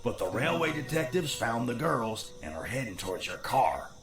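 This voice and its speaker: A man's voice: medium pitch, very animated, moderate pace. A man playing a sardonic, bossy ghost in an Old West audio drama, sarcastic and impatient, in an echoey sound. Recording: echoey, music and crickets behind.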